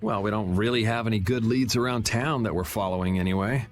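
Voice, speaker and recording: A man's voice: on the low side, a little fast, natural voice. A man voicing a cool, sardonic young man in a video game, with a dry, laid-back wit. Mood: casual. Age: young adult. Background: game music.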